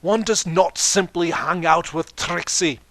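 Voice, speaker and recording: Male reader as boastful cartoon mare magician, put-on Scottish accent, pompous, raised pitch, declamatory. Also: fairly even intonation, a little slow, a bit bright, no echo. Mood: haughty. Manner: pompous, declamatory, third-person speech. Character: boastful theatrical female magician pony speaking of herself grandly.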